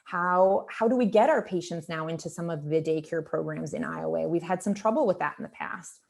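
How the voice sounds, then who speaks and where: Woman doctor, Lithuanian first language, slightly low voice, earnest, self-correcting, video call audio. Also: brisk, gravelly, darkish tone. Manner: earnest, strings questions together with restarts. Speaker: emergency physician presenting for a hospital team. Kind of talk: panel presentation on a video call.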